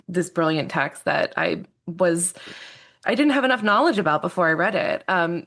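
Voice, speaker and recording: A woman's voice: lowish voice, fairly bright tone. A woman hosting a podcast interview, effusive and self-deprecating as she praises her guest. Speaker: podcast host.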